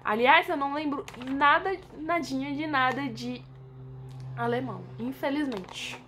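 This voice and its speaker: A woman's voice: high-pitched, a little slow, a bit bright, a little hiss or hum. Chatty Brazilian woman vlogging casually. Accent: Brazilian Portuguese. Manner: chatty, informal, lots of fillers, reads from a script. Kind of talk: casual chat to camera.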